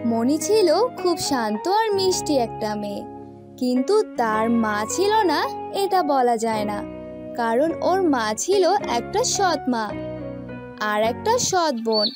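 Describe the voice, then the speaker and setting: A woman's voice: on the high side, big pitch swings, takes it slowly, recorded from a distance, over music. A woman narrating a scripted fairy tale for children as a cartoon storyteller.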